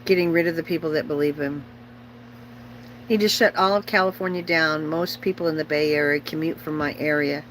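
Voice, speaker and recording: A woman's voice: sits low, fairly even intonation, brisk, a little hiss or hum, low-quality recording. A middle-aged woman chatting casually on a livestream as host, rambling and opinionated, bluntly repeating herself for emphasis.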